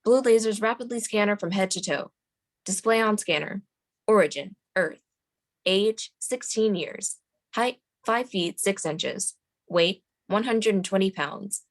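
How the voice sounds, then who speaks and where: Female table-read narrator, medium pitch, delivering scene headings and action lines from a script. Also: unhurried. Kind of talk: reading screenplay stage directions aloud.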